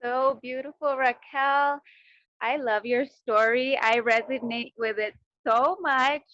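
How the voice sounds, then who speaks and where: A woman's voice: high-pitched, fairly even intonation, dry recording. Affirming woman on a dull, dry line. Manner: warm, affirming, halting with fillers. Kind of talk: personal testimonial on a group call.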